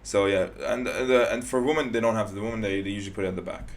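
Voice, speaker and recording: A young man hosts a relaxed podcast conversation in a lowish voice. He is easygoing and keeps the talk moving with quick, short agreements. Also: slight background noise. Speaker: podcast host. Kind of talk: casual chat.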